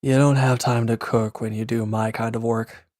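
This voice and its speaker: A man's voice: mid-range voice, little pitch movement, moderate pace, a little mellow, no echo, clean studio sound, natural voice. A man playing a wounded former villain in an audio roleplay, sullen, defensive and restless, talking in a curt, grudging, sarcastic way. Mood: matter-of-fact, critical. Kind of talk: intimate audio roleplay acting.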